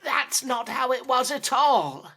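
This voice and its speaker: A woman's voice: sits high, animated, takes it slowly, fairly bright tone, husky, exaggerated voice. A woman voicing a sly, theatrical talking cat in a demon lord's service, with florid, old-fashioned phrasing, in an audio drama. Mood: ominous, insistent. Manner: florid, archaic, teasing sing-song.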